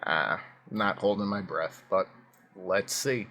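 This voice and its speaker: A man hosting a casual video reaction, his voice hoarse and prone to cracking, talking in a chatty, rambling way with plenty of fillers. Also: deep voice, takes it slowly, pushed and bright. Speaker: YouTube reaction host.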